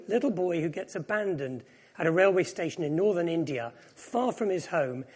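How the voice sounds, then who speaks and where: A man's voice: high voice, husky. A middle-aged man, born in Japan, giving a reflective, self-deprecating personal sermon. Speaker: preacher.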